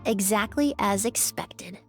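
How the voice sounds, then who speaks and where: A woman playing a composed, polite and observant young woman in a video game, measured and matter-of-fact. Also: medium pitch, faint music behind, lightly acted. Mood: assured.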